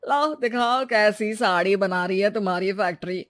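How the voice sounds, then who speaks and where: A woman's voice: medium pitch, normal speed, fairly bright tone, lightly acted. A woman doing a scornful merchant. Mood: mocking.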